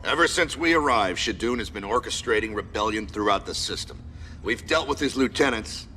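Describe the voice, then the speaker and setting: An actor playing a stern, authoritative military commander who gives clipped, formal mission briefings in a video game. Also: male voice, fairly low pitch, animated, a little fast, bright, forceful tone, big-room sound, a little hiss or hum. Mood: grave.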